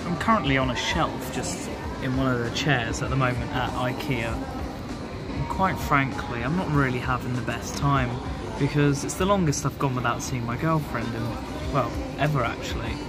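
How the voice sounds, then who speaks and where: A man's voice: mid-range voice, moderate pace, over loud music. A man in his twenties with an English accent, chatting casually and informally to camera as a vlogger, recorded on a phone held at arm's length. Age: 20s. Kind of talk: casual vlog chat to camera.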